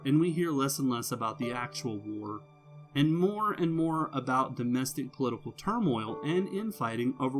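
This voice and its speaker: A man reads a scripted video game review as the channel's host, measured and phrase by phrase, with emphatic pauses. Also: medium pitch. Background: music under the voice.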